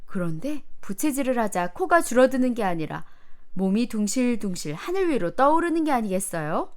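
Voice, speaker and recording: A woman narrating a children's storybook in an expressive, careful storytelling manner meant for young children. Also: a little high, moderate pace. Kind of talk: animated children's storybook reading.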